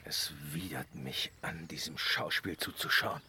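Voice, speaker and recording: An actor playing a proud, stubborn ruler of the gods in a German fantasy radio play, grave and commanding, with a husky voice. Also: male voice, rumbling low, a little slow, pushed and bright, full character voice. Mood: weary, commanding.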